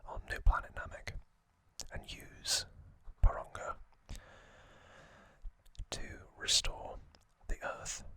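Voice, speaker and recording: A man whispering softly in a breathy, deliberate ASMR style, telling a recap in short phrases with long pauses between them. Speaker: host of an ASMR trivia channel. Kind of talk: ASMR whispered storytelling, loosely scripted recap.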